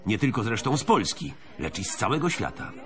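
A man's voice: lowish voice, very animated, brisk, a bit bright. An actor playing a formal, ceremonious male announcer introducing a live broadcast in a radio drama. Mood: solemn excitement. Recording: crowd noise behind the voice.

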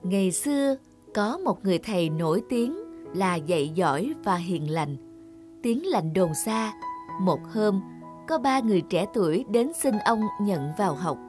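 A woman's voice: medium pitch, expressive, measured pace. A woman telling a scripted children's fairy tale as a storyteller, with music playing behind her.

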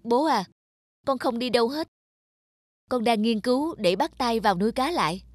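A woman's voice: fairly high pitch, animated, moderate pace, no room sound, studio quality. A woman playing an earnest, determined young male fish farmer in a cartoon. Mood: stubborn resolve. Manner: earnest, resolute. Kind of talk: cartoon dub acting.

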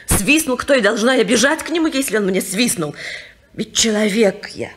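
An actress playing a suffering, oppressed wife who pleads in an anguished way in an old radio play. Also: female voice, fairly high pitch, expressive, normal speed, breathy edge. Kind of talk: 1950s radio play acting.

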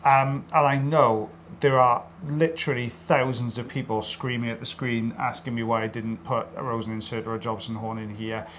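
A man's voice: mid-range voice, steady tone, normal speed, hardly any pauses, fairly bright tone, a little hiss or hum. A middle-aged man with a South Welsh accent, giving casual, joking commentary straight to viewers as an audiologist. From South Wales. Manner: joking, addresses viewers directly. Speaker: audiologist co-presenting a procedure video.

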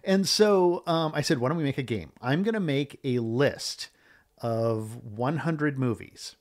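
An older man chats in a podcast studio in a loose, rambling way. He gets enthusiastic as he tells stories and quotes past conversations, and his pitch sits in the middle of the male range. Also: a little slow, darkish tone. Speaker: filmmaker guest and co-host. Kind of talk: casual chat about movies.

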